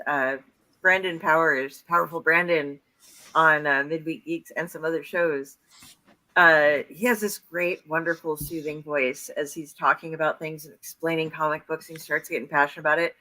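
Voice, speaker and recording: A woman's voice: deep voice, moderate pace, sharp tone. A woman hosting a casual video-call chat about storytelling, rambling with fillers and restarts. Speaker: host.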